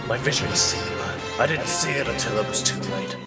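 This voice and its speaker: An actor playing a grim masked vigilante, speaking low, hushed and deliberate, in noir audio drama narration. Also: male voice, huge pitch swings, recorded from a distance, loud music behind the voice. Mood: grim, brooding. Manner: slow, grave, deliberate. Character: brooding young masked male vigilante, grim and resolute.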